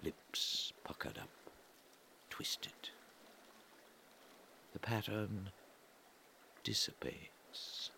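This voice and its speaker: A man reading an atmospheric literary story in a measured way, pausing between short phrases, with a gravelly voice that often turns breathy and trails off. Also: lowish voice, pushed and bright, in a whisper. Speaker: reader of a literary audio story. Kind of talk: slow atmospheric literary narration.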